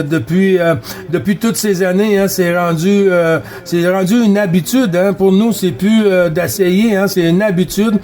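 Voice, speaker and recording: A man's voice: high voice, a little mellow. A man with a Quebec French accent, a CB radio operator chatting casually and repeating himself in a friendly, folksy way. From Quebec, Canada. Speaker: amateur CB radio operator running contacts.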